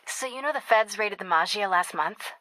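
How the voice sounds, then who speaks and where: Bright-voiced young American woman reporter. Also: mid-range voice, animated, no room sound, rough recording. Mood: casual, teasing. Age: young adult. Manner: casual, quick-witted phone chatter. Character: brisk, teasing young woman newspaper reporter, warm and daring. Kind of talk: video game voice acting.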